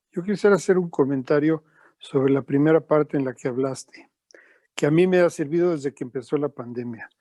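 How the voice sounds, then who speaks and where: A man with a fairly high voice speaks in a measured, reflective way during a panel discussion. His voice is recorded dry, with little room sound. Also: darkish tone, no echo.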